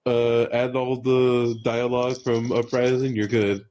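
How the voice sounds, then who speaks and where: Male podcast panelist, medium pitch, slow-paced delivery, loose informal banter between friends. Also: level tone, background noise. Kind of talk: casual chat among friends.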